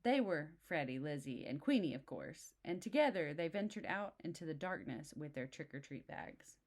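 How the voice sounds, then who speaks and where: A woman's voice: sits low, sing-song, normal speed, a little mellow. A woman reading a storybook aloud expressively for young children, adding simple explanatory asides. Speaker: storybook reader.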